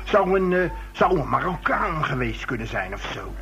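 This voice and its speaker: Radio play role: defensive, stammering young man, high-pitched, dull recording. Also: very animated, normal speed, fairly bright tone, faint music behind. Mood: hesitant. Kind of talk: radio drama acting.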